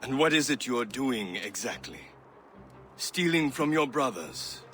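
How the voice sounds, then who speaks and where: An actor playing a proud male mentor of assassins in a video game cutscene, speaking with imperious, deliberate drama. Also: mid-range voice, animated. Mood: bitter disappointment. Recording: loud music behind the voice.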